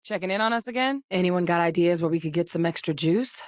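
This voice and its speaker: Actress playing a grounded woman scientist and field veteran, game companion, low voice, measured matter-of-fact delivery, dry studio sound. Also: a little mellow, no echo, lightly acted. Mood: steady. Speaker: video game companion character. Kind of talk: video game voice lines. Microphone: studio microphone.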